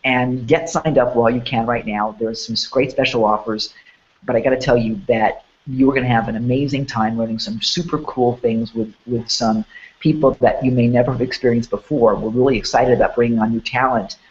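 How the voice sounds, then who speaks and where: A man hosts an event preview, his voice hoarse and a little congested from a cold. He rambles with eager enthusiasm and a middling pitch. The audio comes through a dull, lo-fi call line. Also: fairly even intonation, fairly quick. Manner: rambling, enthusiastic, many restarts. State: hoarse and sniffling. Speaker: host. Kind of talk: promotional webinar chat.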